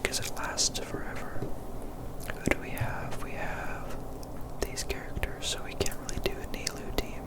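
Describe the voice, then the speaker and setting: An ASMR gamer speaking in a breathy, unvoiced whisper, giving casual, explanatory gameplay commentary close to the mic. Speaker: gaming ASMR video creator.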